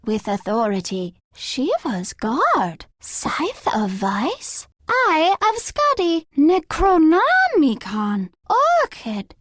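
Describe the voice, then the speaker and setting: A woman's voice: high voice, huge pitch swings, very slow, few pauses, dry recording, clean studio sound, heavily acted. A woman voicing a video game's childlike faerie dragon, mischievous and haughty, with light, girlish tones and formal, archaic phrasing. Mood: playful, grand. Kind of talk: video game character voice lines.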